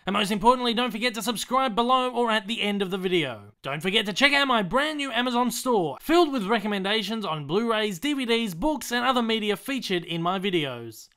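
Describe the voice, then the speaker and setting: A young Australian man with a head cold, sounding stuffy, reading a scripted movie review in an earnest, emphatic way that drops low at the ends of sentences. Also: animated, a little fast, hardly any pauses, bright, forceful tone, clear, resonant tone. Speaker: movie reviewer, channel host.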